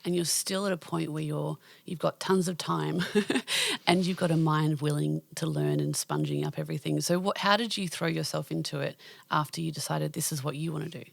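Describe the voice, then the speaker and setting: A woman's voice: low voice, lively intonation, brisk, creaky, no echo. A woman hosting a podcast interview in casual chat, gushing and laughing often, with many fillers. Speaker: podcast host.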